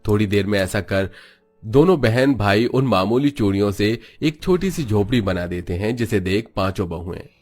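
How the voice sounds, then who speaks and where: A man reading scripted narration for an animated family story. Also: on the low side, normal speed.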